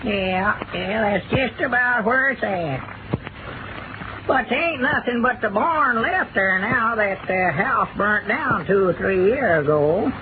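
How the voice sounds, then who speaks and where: Grumbling but kind rural Southern fellow, folksy and hesitant, thin old-time radio sound with plenty of noise. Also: male voice, high voice, lively intonation, slow. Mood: unsure. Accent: rural Southern American. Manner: folksy, hesitant, repetitive country talk. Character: good-hearted, grumbling, easily tired country bumpkin man. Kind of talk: 1940s radio acting. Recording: tinny, hissy old radio broadcast recording.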